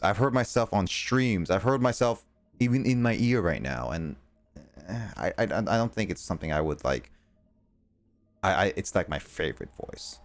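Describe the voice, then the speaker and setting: A young American man streaming games, talking casually in halting, rambling bursts full of fillers and restarts. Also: deep voice, dry recording. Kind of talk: casual chat while gaming.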